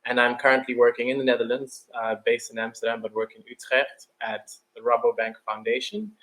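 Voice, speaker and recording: A man from Uganda speaks as a panel guest. His voice sits at a medium pitch and moves very little up or down. The delivery is earnest and conversational. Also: level tone, fairly quick, fairly bright tone, rough recording.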